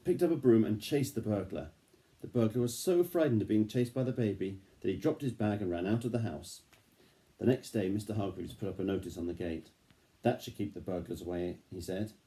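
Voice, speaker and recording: A man reading a picture book aloud to a child, gently and engagingly, pausing to ask questions. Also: fairly low pitch, expressive.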